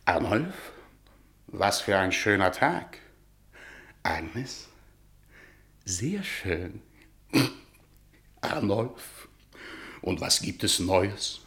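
An actor in a German radio drama playing an exuberant middle-aged male playwright with a theatrical, excitable manner. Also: on the low side, drawn-out words, pauses often, exaggerated voice. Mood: reading aloud. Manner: theatrical, swinging between musing and excitement.

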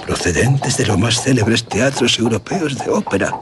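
Actor as florid old general, dramatically swinging voice. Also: male voice, medium pitch, expressive, normal speed, background noise, lightly acted. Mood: rapturous. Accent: Castilian Spanish. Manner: florid, theatrical, dramatic storytelling. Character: grieving aristocratic old general recounting his tragedy. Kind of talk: radio drama acting. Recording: music under the voice.

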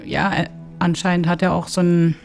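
A woman from Kyrgyzstan, giving halting interview answers as an expert. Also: lowish voice, little pitch movement, vocal fry, darkish tone, faint music behind. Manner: halting, hesitant delivery. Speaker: interviewed expert, legal anthropologist.

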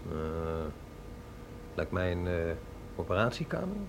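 A man's voice: deep voice, very animated, a little slow, slight background noise, full character voice. An actor in a radio drama playing a wry, understated male agent, a curious outsider who questions and hesitates. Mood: cautious, questioning.

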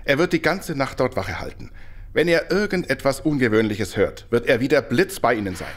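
An actor playing a reassuring, confident police superintendent in a radio drama. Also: male voice, mid-range voice, animated, brisk, natural voice.

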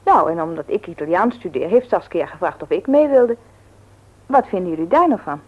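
A woman's voice: lowish voice, lively intonation, a little fast, highs cut off. An actress playing a sociable, eager young woman who chats with visitors in a Dutch radio play. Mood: chatty, eager. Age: young adult. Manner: chatty, eager, friendly.

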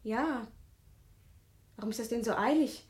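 A woman's voice: on the high side, measured pace, darkish tone, close to an everyday voice. A woman playing an annoyed, questioning daughter in a radio play, with an impatient, querulous manner. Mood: annoyed, puzzled. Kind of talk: German radio play acting.

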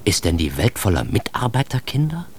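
A man's voice: low voice, very animated, fairly quick, a little hiss or hum. An actor playing a self-deprecating, bitter grown son who narrates his youth in a confessional radio-play monologue, addressing the listener directly. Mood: wry, self-mocking. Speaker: narrator and protagonist of a radio drama.

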